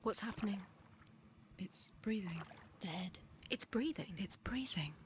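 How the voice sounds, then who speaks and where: An actress voicing an anxious, taunting voice in a warrior's head for a video game, breathy and urgent, in short repeated phrases. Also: female voice, mid-range voice, lively intonation, unhurried, a little hiss or hum, lightly acted. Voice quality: breathy whisper. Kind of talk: fragmented, repetitive whispered game dialogue.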